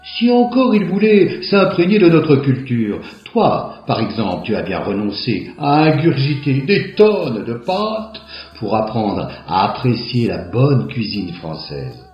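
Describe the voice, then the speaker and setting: An actor playing Philippe, a bigoted, sneering defence lawyer who rants to his wife. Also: a little slow. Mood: ranting. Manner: lively, sneering, prejudiced ranting. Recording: echoey, dull low-fi.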